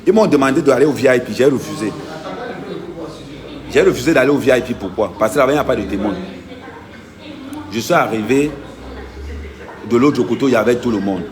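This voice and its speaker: A man's voice: medium pitch, animated, a little fast, pauses often, crowd noise. A man, an activist vlogger giving an insistent, emphatic first-person account and repeating his key points.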